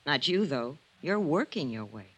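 A brittle, cynical magazine writer in a vintage radio mystery. Her lines swing widely in pitch, sly and sardonic, with slangy wisecracks and a tough act. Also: big pitch swings, moderate pace, fairly bright tone, breathy edge, exaggerated voice. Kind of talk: old-time radio drama acting.

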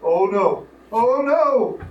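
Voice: medium pitch, animated, drawn-out words, a little mellow, muffled recording. An actor playing a dim, stubborn, food-obsessed phony justice of the peace, plaintive and oblivious, in a comic radio play. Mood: dazed, whiny. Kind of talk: old-fashioned comic radio play acting. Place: live radio play performance in a room. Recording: distant echoey live stage, noisy.

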